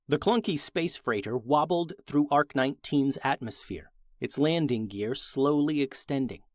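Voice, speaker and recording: A man's voice: mid-range voice, measured pace, a little mellow, no echo, clean studio sound. A man hosting a children's story podcast, reading scripted narration.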